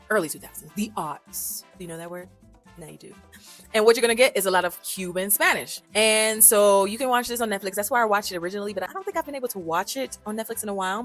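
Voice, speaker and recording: A chatty woman talks straight to camera in a casual vlog, mixing slang and playful asides. Her voice sits in a middle pitch and swings between loud, animated bursts and soft throwaway remarks. Faint music plays underneath. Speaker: vlogger reviewing films for language learners.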